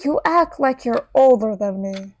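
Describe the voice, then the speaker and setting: A woman's voice: fairly high pitch, animated, measured pace. A woman playing an overworked, modest human manager in a radio play, reserved and hesitant in delivery. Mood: modest, evasive. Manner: reserved, hesitant, slips into Spanish. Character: Ari, overworked, modest human woman running a cartoon studio. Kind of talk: fictional radio theater acting.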